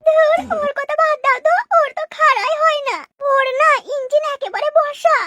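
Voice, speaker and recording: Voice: very high voice, fairly even intonation, moderate pace, heavy background noise. An actor voicing a bold young housewife, complaining and coy, in a comic cartoon dub. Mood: coy, teasing.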